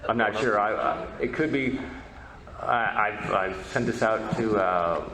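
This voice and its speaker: Low-voiced man lecturing in an echoey room. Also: a crowd in the background. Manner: halting, hesitant delivery with repeated words. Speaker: presenter, media history researcher. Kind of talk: informal convention lecture. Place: presentation room at a convention. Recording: echoey room with background noise.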